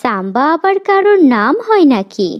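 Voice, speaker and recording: Woman voicing a high, swooping cartoon snake queen. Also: big pitch swings, moderate pace, no echo. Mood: coaxing, curious. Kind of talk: Bengali comic cartoon dialogue. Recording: dull audio with faint noise.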